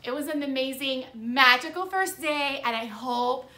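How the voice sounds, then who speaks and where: Young woman, teacher, vlog chat, upbeat, a bit high voice, classroom. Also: normal speed. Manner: upbeat, chatty, excitable. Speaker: fourth grade teacher and vlogger. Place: her classroom. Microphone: camera propped nearby.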